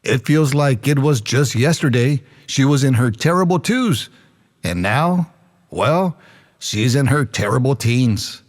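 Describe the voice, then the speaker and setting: Man acting a mid-pitched proud joking father. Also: lively intonation, measured pace, natural voice. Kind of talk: audio fiction drama acting, toast.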